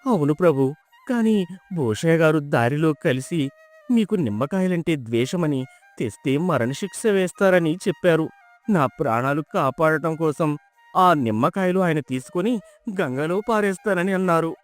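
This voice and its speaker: A man's voice: fairly high pitch, animated, moderate pace, soft background music, close to an everyday voice. A man playing a humble, timid, honest villager in a folk tale, speaking in an earnest, deferential way. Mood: fearful, pleading. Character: humble, timid, honest male villager pleading before king. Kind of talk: dramatised folk-tale acting.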